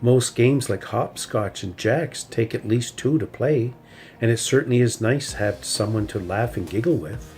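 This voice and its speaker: A man voicing a patient, gentle mother bear who speaks quietly. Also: mid-range voice, moderate pace, soft background music, lightly acted. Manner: quiet, soft-spoken.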